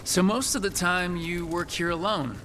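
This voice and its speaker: An actor playing an earnest, measured hero in a fantasy video game. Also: male voice, sits high, fairly even intonation, sharp tone, a little hiss or hum. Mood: conversational.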